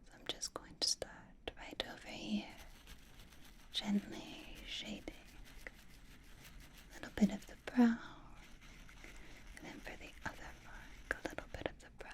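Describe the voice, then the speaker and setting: A woman playing a flirtatious, teasing vampire in a whispered ASMR roleplay, with intimate, breathy delivery and soft sighs. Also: mid-range voice, level tone, measured pace, long pauses, natural voice. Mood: soothing. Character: flirtatious, possessive vampire companion, teasing and reassuring.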